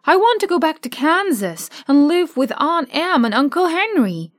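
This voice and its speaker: A woman voicing a high, eager little girl. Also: big pitch swings, moderate pace, no room sound, clean studio sound. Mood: pleading. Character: eager young girl far from home.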